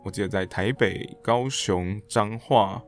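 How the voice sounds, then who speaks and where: Male podcast guest, very low register with vocal fry, animated storyteller, conversational. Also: measured pace, soft background music. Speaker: podcast guest, theatre director and actor. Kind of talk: casual interview chat with anecdotes.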